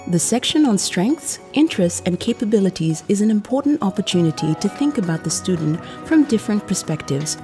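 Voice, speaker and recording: A woman with a slightly low voice narrates a training film over clearly audible music. Her delivery is scripted and measured, explaining each point in an instructional way. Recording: music behind the voice. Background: background music.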